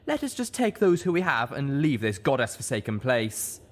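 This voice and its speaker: A man's voice: very animated, normal speed. A man voicing a group of dazed, mind-controlled alicorn mares who whine and bicker with each other. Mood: whiny, bickering. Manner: high, whiny and bickering.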